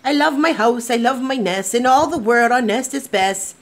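A proud little male bird in a storybook, put on by a woman reading aloud. He chirps his song in a lilting sing-song, high and bright. The voice sits well above the reader's own and moves slowly.

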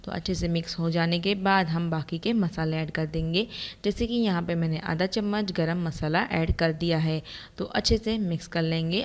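Lower-pitched woman narrating a recipe. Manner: instructional, addresses viewers directly. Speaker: cooking channel host.

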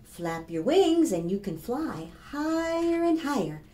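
Animated high-voiced woman reading to preschoolers. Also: takes it slowly. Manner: animated, instructive, addressing small children. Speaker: children's storytime librarian. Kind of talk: expressive picture-book read-aloud for preschoolers. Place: small plain room indoors. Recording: roomy echo, faint background noise.

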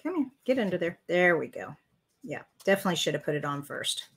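A woman's voice: on the low side, sing-song, fast, vocal fry, husky. An older woman hosting a live card-making tutorial, chatting as she works and reading viewer comments aloud. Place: craft room at a desk.

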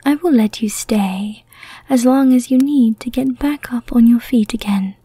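A breathy, seductive female vampire, acted by a woman for an ASMR roleplay. She speaks slowly and softly, in a dark, whispery tone. She is teasing and menacing, with a merciful streak, and sounds very close and dry. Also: a little high, no echo. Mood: calm, merciful. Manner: slow, teasing, deliberate.

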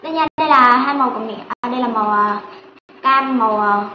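High-pitched young woman, echoey room. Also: fast. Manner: hesitant, repeating herself while working. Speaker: craft video host. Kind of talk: casual hands-on craft tutorial to viewers. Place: small indoor room with foam-panelled walls. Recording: roomy, muffled camera audio with faint noise.

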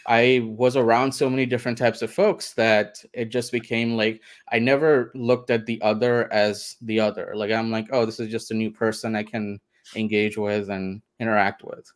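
A man from India talks as a guest over a video call from home. He is relaxed and thoughtful and often hedges. His voice is lowish, smooth and clear. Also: moderate pace. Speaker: interview guest. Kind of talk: casual interview.

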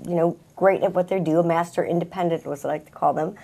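Lower-voiced middle-aged woman in conversation. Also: steady tone, fast, gravelly, mellow. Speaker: guest, salon owner and hairstylist. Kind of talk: conversational talk-show interview. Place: small TV talk-show set indoors.

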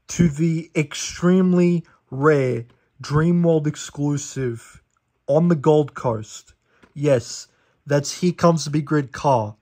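A young man talks through a DVD review in a casual, chatty way, rattling off facts with fillers and quick self-corrections. His voice sits a little on the high side. It sounds like a phone recording in a small living room. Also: a little mellow. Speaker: DVD collector reviewing a disc. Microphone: phone camera held over the disc.

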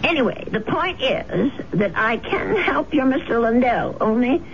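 Fretful old landlady for radio play; earnest, halting, on a dull, noisy vintage recording. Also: a little high, animated. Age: older. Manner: hesitant, earnest. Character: elderly, troubled, earnest landlady and widow. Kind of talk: radio drama acting.

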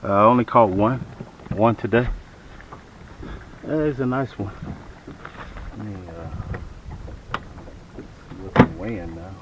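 A middle-aged American man with a fairly low voice talks casually to his camera. He is laid-back and tends to repeat his phrases. The recording is made outdoors on a boat, with obvious motor and open-air noise behind him. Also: slow, long pauses. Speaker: fishing vlogger. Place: outdoors on a fishing boat on a lake. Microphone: camera mic, close then several feet away.

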